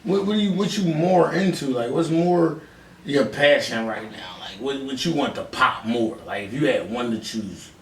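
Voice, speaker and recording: A man's voice: medium pitch, moderate pace, fairly bright tone. A man with a Philadelphia accent hosting a casual podcast interview in informal, slangy talk. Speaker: podcast host. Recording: roomy, distant mic, faint noise.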